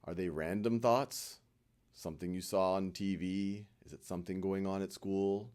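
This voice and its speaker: A man leading a guided meditation in a gentle, reassuring manner, leaving frequent pauses between short instructions. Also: low voice, steady tone.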